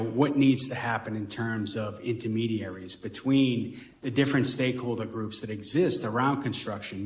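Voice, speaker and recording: Man with a Boston accent. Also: lowish voice. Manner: conversational, frequent "right?" tags. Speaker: panelist on workforce. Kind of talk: roundtable discussion. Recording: roomy echo.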